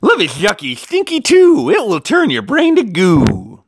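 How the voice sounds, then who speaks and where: A storyteller putting on a loud, high-pitched bossy Valentine heart. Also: wildly swooping pitch, a little slow, breathy edge, no room sound, studio quality, heavily acted. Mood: taunting. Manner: loud, exclaiming, dramatic. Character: bossy, scornful living paper Valentine heart.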